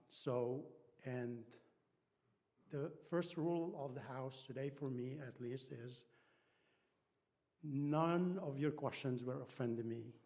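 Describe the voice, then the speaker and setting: A man with an Egyptian accent and Arabic as his first language speaks at a middling pitch. He addresses a congregation informally as a guest speaker. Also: dark tone. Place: church hall.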